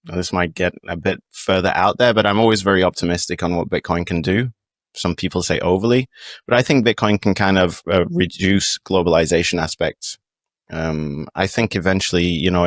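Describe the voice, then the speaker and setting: A man's voice: sits low, dry recording, studio quality. A man with a British accent, speaking as a podcast guest in a casual, reflective, explanatory way with long meandering sentences. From United Kingdom. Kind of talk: casual interview talk, explanatory.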